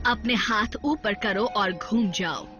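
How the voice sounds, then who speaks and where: A woman's voice: mid-range voice, slow, lightly acted. A woman voicing a greedy, haughty female villain robot in a Hindi cartoon dub. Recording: dull lo-fi audio with music.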